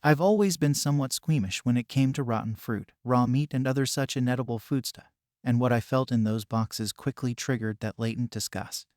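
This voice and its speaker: A man narrating a scary story in a calm, measured way. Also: mid-range voice, no echo, studio quality. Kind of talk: scripted narration.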